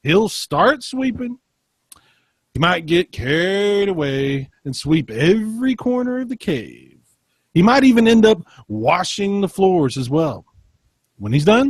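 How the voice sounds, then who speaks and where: Guy doing a fed-up kids storybook narrator, sing-song, goes way up and down, highish voice. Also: husky, no room sound, heavily acted. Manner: exasperated, sing-song, drawn out. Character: slightly exasperated storybook narrator warning of a demanding monster. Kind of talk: expressive children's picture book read-aloud.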